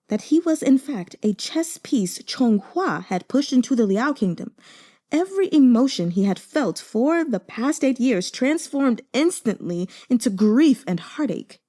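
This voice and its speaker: Female audiobook narrator for dramatic fiction, soft-toned and highly animated. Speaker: audiobook reader.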